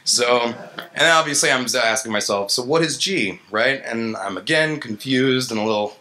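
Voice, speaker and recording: A man with a bright, forward voice gives a casual tech talk on stage. He speaks like an engineer among peers, colloquial and self-deprecating, often acting out his own reactions. His pitch sits in an ordinary male middle range. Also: moderate pace. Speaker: software engineer giving a lightning talk. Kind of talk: informal conference talk with slides. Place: stage in an indoor gallery event space. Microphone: handheld-style vocal mic on a stand.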